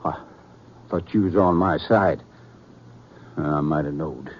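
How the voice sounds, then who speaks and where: Frightened frontier prisoner, American, low register, rustic and resentful; dull 1950s radio drama. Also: male voice, slow pace, breathy edge, full character voice. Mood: fearful, accusing. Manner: rustic, ungrammatical speech. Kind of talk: 1950s radio western acting. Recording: dull, noisy vintage radio recording.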